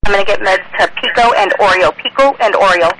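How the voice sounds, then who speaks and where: Male police dispatcher, high bright voice, clipped procedural delivery, radio scanner audio, faint noise. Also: quick pace, low-quality recording. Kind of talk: terse radio dispatch, repeating calls back.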